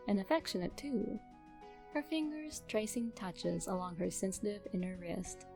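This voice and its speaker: A woman reading a romantic fan fiction story aloud as an expressive scripted narration. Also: medium pitch, creaky. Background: faint music.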